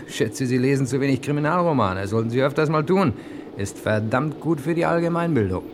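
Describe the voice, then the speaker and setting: An actor playing a hard-boiled, cocky hero who narrates a German radio crime play in clipped slang, talking straight to the listener. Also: male voice, mid-range voice, animated, normal speed, noisy background, lightly acted. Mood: amused, cynical.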